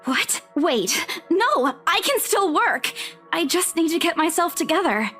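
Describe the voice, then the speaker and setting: A woman voicing a stubborn, flustered young real estate trainee in a visual novel game, defensive and expressive. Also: very high voice, unhurried, heavily acted. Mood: startled, defensive. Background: background music.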